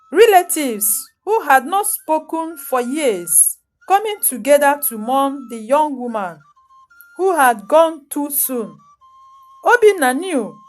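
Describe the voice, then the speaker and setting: A woman narrating a scripted folktale as a storyteller, solemn and dramatic, in short deliberate phrases. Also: high-pitched. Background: music under the voice.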